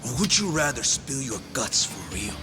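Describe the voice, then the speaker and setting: An actor playing a taunting, theatrical gang boss in a video game, swinging between quiet menace and yelling. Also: male voice, mid-range voice, sing-song, normal speed, natural voice. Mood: cold menace. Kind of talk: dramatic game villain acting. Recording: reverberant hall, loud music behind.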